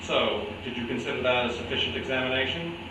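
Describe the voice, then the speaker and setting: A man's voice: mid-range voice, quick pace, distant mic, lightly acted. Man acting probing interrogator, echoey, noisy room. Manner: probing, pointed. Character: persistent, skeptical interrogator of a war criminal. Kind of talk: staged play reading. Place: indoor room at a live staged reading, distant mic.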